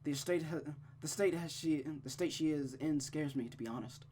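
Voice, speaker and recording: A woman's voice: deep voice, normal speed, dark tone, a little hiss or hum, close to an everyday voice. A woman voicing a stoic, weary nobleman with a subdued, evasive manner in a visual novel read-aloud. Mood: grave, worried.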